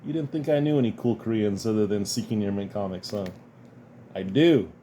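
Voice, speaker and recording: Low voiced guy going through his comic haul, slow easy rambling talk, a bit sniffly, noisy background hum. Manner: relaxed, rambling, chuckles often. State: sniffly from dust. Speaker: comic collector vlogger. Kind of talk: casual unboxing chat.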